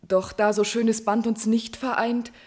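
An actress playing a noble, courageous young princess in love, declaiming impassioned verse in a classical German radio tragedy. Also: female voice, fairly high pitch, little pitch movement, unhurried, a little mellow. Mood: tender, modest.

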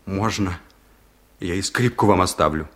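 An actor playing an earnest young village violinist in a 1970s radio drama, asking quick questions. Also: male voice, low voice, fairly bright tone. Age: young adult.

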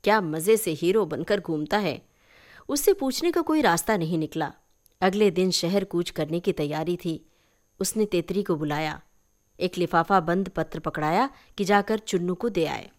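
A woman reading a literary short story aloud as an expressive, scripted narration. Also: on the low side, moderate pace. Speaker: story reader.

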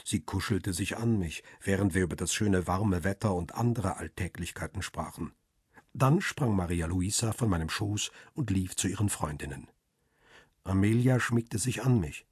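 An actor playing a middle-aged photographer and devoted husband, narrating a radio crime drama in a measured, reflective, literary way. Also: male voice, deep voice, darkish tone, husky, dry recording, studio quality. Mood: reflective, tender.

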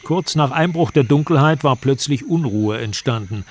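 A man's voice: medium pitch, animated, normal speed, a little hiss or hum. A man giving sober, scripted storytelling narration in a radio drama.

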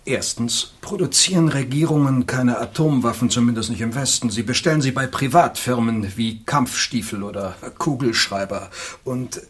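An actor playing a knowledgeable weapons expert in a German radio play, speaking in an assured, matter-of-fact, instructive way. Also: male voice, medium pitch, normal speed, natural voice.

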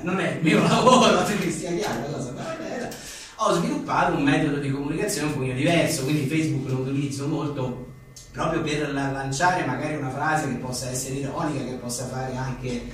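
A man's voice: medium pitch, a little fast, a bit bright. A man being interviewed as a writer, talking casually in a rambling, self-deprecating and joking way. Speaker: journalist and author being interviewed. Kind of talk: casual live interview about a book. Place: echoey indoor venue at a live book talk. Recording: very echoey room, distant mic, faint noise.

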